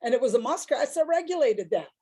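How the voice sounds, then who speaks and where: A woman's voice: sits high, expressive, fast talker, dark tone, breathy edge. A woman with a big voice, telling personal stories on a discussion panel in a reflective, rambling way.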